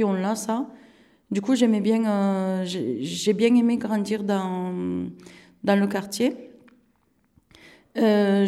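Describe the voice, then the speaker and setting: A woman's voice: mid-range voice, warm tone. A woman in her thirties, born in Turkey with Turkish as her first language, recalling her childhood in an interview, hesitant with many fillers and restarts. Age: 30s. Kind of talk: casual recollection in an interview.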